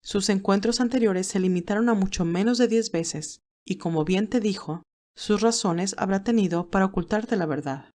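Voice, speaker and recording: A woman playing a wise, gentle older motherly mentor, speaking in a measured, reassuring way in an audio drama. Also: medium pitch, close to an everyday voice. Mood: firm, reassuring. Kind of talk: audio drama character acting.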